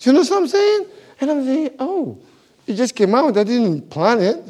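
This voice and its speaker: Animated pastor with a non-native English accent. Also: male voice, very high-pitched, moderate pace. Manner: animated, laughing storyteller, big swings in loudness. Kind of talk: sermon.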